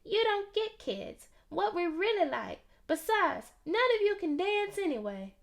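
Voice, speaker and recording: A woman's voice: extremely high pitch, big pitch swings, a little slow, vocal fry, pitched above the performer's own voice, exaggerated voice. A woman voicing a tiny, cheeky little boy in a storybook, piping and blunt.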